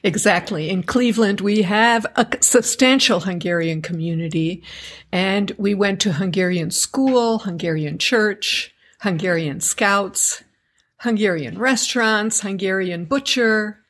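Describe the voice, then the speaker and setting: A middle-aged woman from the United States, answering interview questions in a measured, articulate way. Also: medium pitch, a bit bright. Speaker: guest, educators association member.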